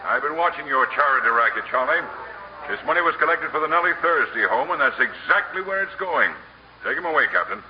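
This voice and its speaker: An actor playing a stern, authoritative judge, firm and formal, in 1950s radio drama style. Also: male voice, fairly high pitch, lively intonation, brisk, natural voice. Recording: muffled old radio recording, light noise.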